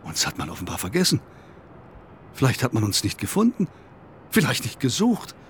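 An actor playing a sober, troubled ship passenger in a radio drama, matter-of-fact and insistent. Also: male voice, medium pitch, huge pitch swings, moderate pace, a little hiss or hum. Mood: grave, subdued. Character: sober, troubled male ship passenger pleading for stranded refugees.

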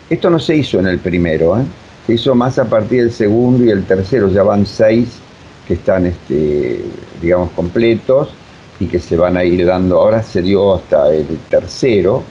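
A man with a Buenos Aires Argentine accent, a drama director explaining his work in an interview in a digressive, emphatic way. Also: mellow. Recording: dull, noisy lo-fi audio with rumble.

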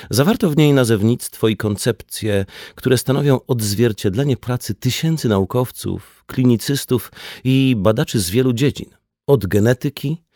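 Low-pitched man narrating a nonfiction audiobook. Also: darkish tone, dry recording, studio quality.

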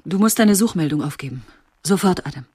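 A commanding, calculating woman in a radio play. Her husky, lowish voice is brisk and unsentimental, heard close and dry. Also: animated, warm tone, no room sound. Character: cool, commanding, calculating woman taking control of a crisis. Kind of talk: radio drama acting.

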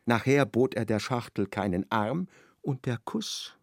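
Male narrator reading literary radio drama, creaky voice, very lively pitch, dry close sound. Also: a little slow, dry recording.

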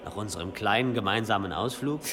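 An actor as a teasing monk in long reverb. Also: male voice, fairly low pitch, fast talker, close to an everyday voice. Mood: needling. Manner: mocking, insistent. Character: teasing, prying medieval monk, familiar and sly. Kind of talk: German historical radio drama acting. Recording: long reverb of stone cloister, faint noise.

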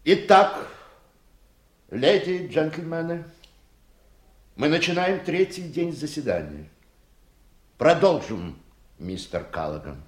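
A man's voice: a little high, expressive, slow, pauses often. A man acting a formal, authoritative judge presiding over a trial in a radio play. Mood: official. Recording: echoey room, distant.

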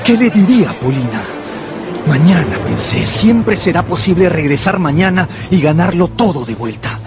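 An actor playing an anxious gambler who narrates his own ruin in a radio novel, brooding and intense, with dramatic pauses. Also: on the high side, sing-song, over music. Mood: shaken.

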